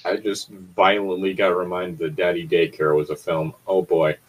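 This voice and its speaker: A man with a slightly rough, husky voice talks in loose comedic banter. He sounds exasperated and swears freely as he gives his commentary. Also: deep voice, level tone, moderate pace, rough recording. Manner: exasperated, profane. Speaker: co-host reading fan fiction aloud.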